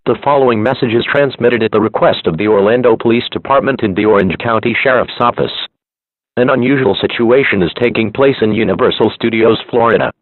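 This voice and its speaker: A synthetic male announcer reading scripted emergency alerts and weather reports in a formal, impersonal, measured way. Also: medium pitch, no echo. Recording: dull lo-fi radio-style broadcast audio.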